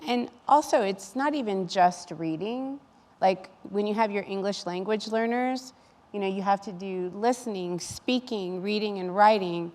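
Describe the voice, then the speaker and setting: A woman's voice: mid-range voice, a little slow, a little mellow. A woman speaking as an educator in a panel discussion, explaining things in an earnest, advocating way. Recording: reverberant hall sound.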